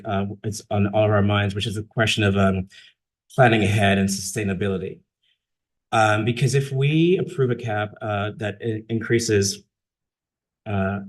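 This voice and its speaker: A man, a city council member, giving earnest remarks at a council meeting, with many fillers and restarts. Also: lowish voice.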